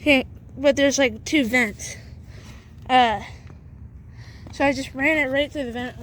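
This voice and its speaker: High-voiced woman, halting how-to talk. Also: fairly bright tone, slight background noise. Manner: halting, many fillers and repeated "essentially". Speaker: video host showing a vehicle setup. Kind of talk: casual how-to walkthrough. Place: in and around a pickup truck.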